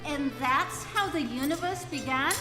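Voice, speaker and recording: A woman's voice: sits high, a little slow. A woman playing a sceptical, questioning character in a comic stage radio play, with dry interjections. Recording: echoey hall with music behind.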